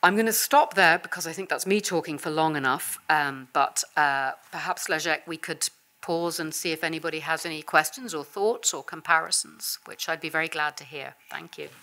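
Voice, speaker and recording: A low-pitched woman with an English accent, speaking in a university seminar room. She lectures in a relaxed, conversational way, often pausing with "uh" and "you know". Her answers are thoughtful and unhurried. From England. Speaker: visiting professor and guest speaker. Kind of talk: academic seminar talk and answering questions.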